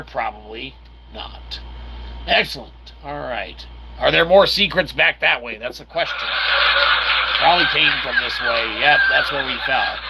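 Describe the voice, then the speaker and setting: A man giving casual video game commentary in a playfully cocky manner, talking as "we", with a gravelly voice. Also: medium pitch, bright, forceful tone, slight background noise, rough recording. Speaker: gameplay host.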